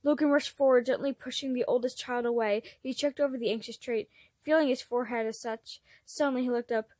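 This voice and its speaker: A woman's voice: a little high, fairly even intonation, a little fast, darkish tone, no echo. A woman reading fan fiction aloud as an amateur narrator, expressively and with acted dialogue.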